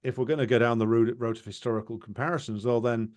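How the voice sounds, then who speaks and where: A man's voice: mid-range voice, steady tone, fast talker, a little mellow. A man hosting a political history discussion, talking casually with frequent restarts and fillers. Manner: hesitant, many restarts and fillers. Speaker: interviewer.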